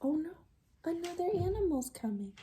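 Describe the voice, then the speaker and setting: A woman reading a children's storybook aloud like a teacher at storytime, expressive and engaging young listeners. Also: very high-pitched, normal speed, a little hiss or hum. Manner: expressive, drops to hushed excited asides with audible gasps.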